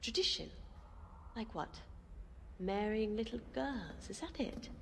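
A woman's voice: on the high side, animated, takes it slowly, vocal fry, fairly bright tone, long reverb tail, slight background noise, heavily acted. A woman voicing a fierce, ambitious young rebel leader in a video game cutscene, with sarcastic, confrontational delivery. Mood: scornful, heated.

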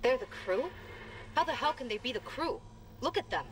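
An actress playing a tense, blunt young repair technician speaking on a video call in a sci-fi game. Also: female voice, sits high, lively intonation, normal speed. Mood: disbelieving. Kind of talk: naturalistic video game acting. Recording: video call with noisy background.

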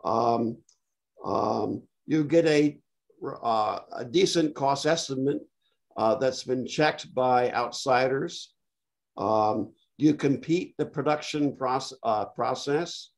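An older man speaking bluntly and dryly, with many filled pauses, on a video call from a home study. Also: medium pitch, gravelly. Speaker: panelist. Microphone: laptop webcam on a video call.

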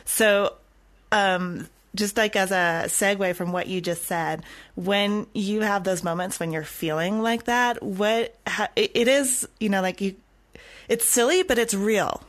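A woman's voice: mid-range voice, measured pace. A woman hosting a podcast, talking casually and conversationally as she interviews a guest.

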